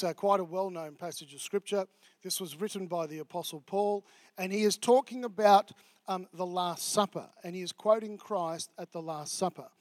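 Australian male pastor, higher register, chatty filler-heavy preaching, dry close mic. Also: dry recording. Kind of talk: sermon.

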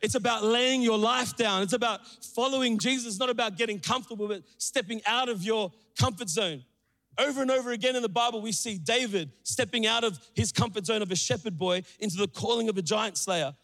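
Energetic male preacher from Sri Lanka, high voice, playful asides, church hall reverb. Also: a little fast. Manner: energetic, joking, calls out to the crowd. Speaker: pastor. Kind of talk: sermon. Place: church auditorium.

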